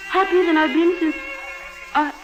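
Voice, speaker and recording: Genteel chatterbox, high voice, effusive, fluttery, sentimental, old radio drama, music behind. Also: female voice, fairly even intonation, normal speed. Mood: gushing. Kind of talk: 1950s radio drama acting. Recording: old 1950s radio recording.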